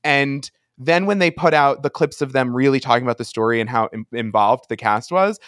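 A man's voice: mid-range voice, a little fast, fairly bright tone, smooth, dry recording, clean studio sound. A man co-hosting a podcast in casual fan discussion, gushing with dramatic emphasis. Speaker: podcast co-host.

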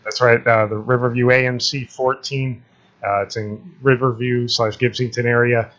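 A man promoting his film on a podcast, relaxed and full of fillers. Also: mid-range voice, normal speed, lo-fi sound. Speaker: filmmaker guest. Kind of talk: casual promotion.

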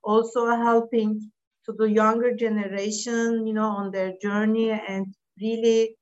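A woman's voice: fairly high pitch, flat delivery, unhurried, lo-fi sound. A middle-aged Turkish woman speaking English as a second language, answering podcast interview questions in an earnest, direct way with some restarts. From Turkey. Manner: earnest, direct, non-native phrasing with restarts. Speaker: interview guest, business leader.

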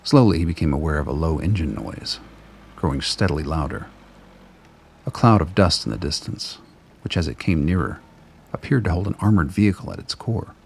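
Very deep, gravelly male narrator. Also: very animated, brisk, a little hiss or hum. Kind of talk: scripted radio drama narration.

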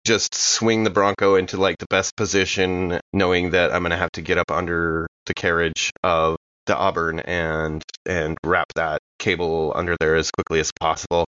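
Deep-voiced man playing a tabletop game. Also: level tone, moderate pace, few pauses, a bit bright, dry recording, lo-fi sound. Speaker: tabletop game player on a podcast. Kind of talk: casual improvised role-play chat.

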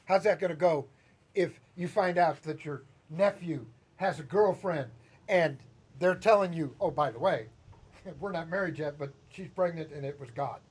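Man with Chicago accent, pastor preaching, high voice, husky, chatty and teasing. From Chicago, Midwest US. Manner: conversational, teasing, asks congregation questions. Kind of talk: sermon. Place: church sanctuary.

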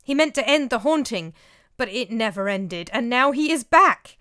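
A woman's voice: on the high side, animated, moderate pace, bright, forceful tone, dry recording, clean studio sound, pitched above the performer's own voice. Figure: a woman doing a frightened male servant. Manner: panicky, moaning.

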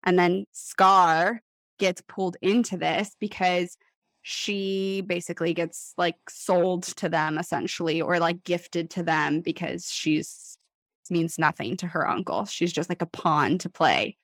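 A woman author in casual podcast chat, telling things in an animated way with lots of "like" and acted-out quotes. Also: fairly low pitch, measured pace, dry recording. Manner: animated storytelling, acts out imagined quotes, heavy use of "like". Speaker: author guest on a book podcast.

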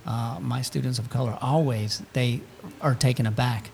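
A man's voice: mid-range voice, fairly even intonation, normal speed, gravelly, darkish tone, a little hiss or hum. A man who teaches Spanish, moderating a panel discussion, breaking in with questions and reading quotes aloud.

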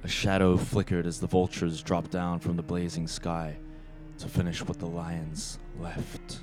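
A man acting as a solemn narrator of a sci-fi radio play, measured and dramatic. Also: deep voice, completely flat delivery, music in the background.

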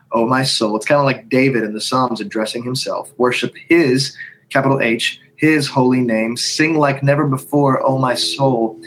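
A man's voice: medium pitch, fairly bright tone, poor audio. A man who writes and leads worship songs, talking in a podcast conversation, thinking aloud with frequent restarts and humming bits of melody. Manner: thinks aloud with many restarts, sings snippets to illustrate. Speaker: worship songwriter and leader.

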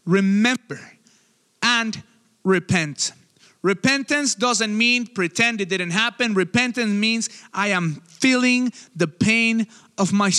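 A young man preaching a sermon as pastor, conversational with quick asides, in a church auditorium on a stage microphone. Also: high voice, bright, forceful tone, long reverb tail.

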